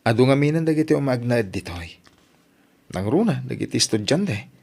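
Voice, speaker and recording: A man's voice: medium pitch, animated, normal speed, vocal fry, darkish tone, husky. A man playing a mischievous, coaxing young suitor in an Ilocano audio drama, with an Ilocano Filipino accent and a bantering manner.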